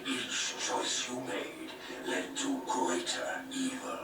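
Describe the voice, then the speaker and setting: An actor playing a cold, ancient wraith king in a video game, speaking in breathy, slow, ominous and grandiose pronouncements. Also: loud music behind the voice, close to an everyday voice. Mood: accusing. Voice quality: breathy, whispery. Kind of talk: dramatic video game cutscene acting. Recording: echoey, distant, noisy game audio playback.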